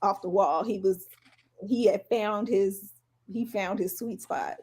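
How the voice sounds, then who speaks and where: A woman's voice: medium pitch, steady tone, unhurried, darkish tone, husky. A woman in her forties co-hosting a casual podcast, chatting about music and firmly pushing back with her own opinions. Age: 40s. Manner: conversational, pushes back firmly. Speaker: podcast co-host.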